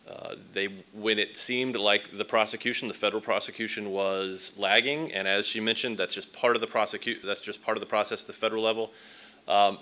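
A man's voice: sits low, pushed and bright. A man in his forties giving a conversational talk at a symposium, with many fillers and restarts, speaking from a distance to a stand microphone in a large lecture hall. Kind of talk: conference talk. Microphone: stand mic set at a distance.

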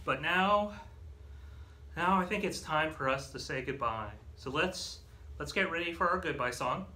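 An American man in his thirties leads a children's storytime as a librarian, speaking in an animated, exaggerated way for young listeners. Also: on the high side, a little slow. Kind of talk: children's storytime, leading rhymes and movement songs. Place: small indoor meeting room with whiteboard.

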